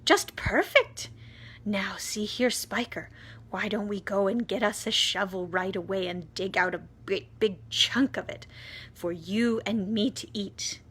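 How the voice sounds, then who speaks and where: A woman's voice: mid-range voice, a little slow, fairly bright tone, breathy edge. A woman voicing a greedy, impatient, crafty aunt from a children's storybook, exclaiming and insistent. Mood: greedy, eager.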